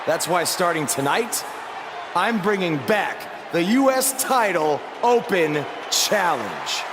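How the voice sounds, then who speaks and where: A man's voice: high voice, very animated, slow, full character voice. A man voicing a proud, determined young champion wrestler giving an earnest, declamatory promo in a video game. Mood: defiant. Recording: reverberant arena, noise behind.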